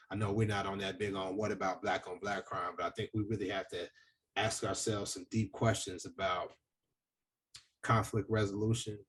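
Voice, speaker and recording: Male podcast host, very low husky voice, booming and earnest, reflective pacing. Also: distant mic. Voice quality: deep, booming voice. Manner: earnest, reflective, hesitant with fillers. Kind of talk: casual discussion.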